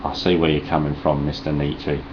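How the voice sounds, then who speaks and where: Deep-voiced sweary Cockney Essex man. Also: moderate pace, highs cut off, slight background noise. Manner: deadpan, sarcastic, rambling, heavy swearing. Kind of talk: sweary deadpan vlog response.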